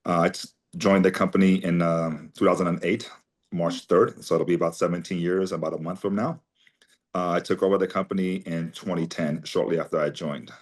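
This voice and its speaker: A man's voice: low voice, steady tone, brisk. A man in his forties from Armenia, speaking as a guest in an interview and telling long personal stories in a chatty, conversational way. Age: 40s. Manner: chatty anecdotal storyteller, many fillers. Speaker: guest, company CEO.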